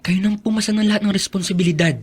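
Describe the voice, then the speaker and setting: An actor playing a devoted, determined young son in a Filipino radio drama, speaking earnestly and respectfully. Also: male voice, high voice, steady tone, rapid-fire, husky. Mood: earnest, resolute. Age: young adult.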